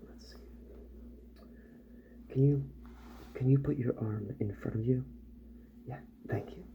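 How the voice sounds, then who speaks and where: A man playing a calm, attentive robot repair doctor in a soft-spoken ASMR roleplay, hushed and unhurried, often slipping into a whisper. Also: medium pitch, close to an everyday voice. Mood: calm, focused. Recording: dull sound, loud background noise.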